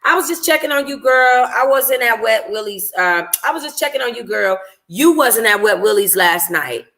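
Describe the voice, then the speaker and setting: Woman narrator as young storybook heroine, raised pitch, bright tone, excitable, caring, informal, emphatic. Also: moderate pace, vocal fry. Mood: concerned.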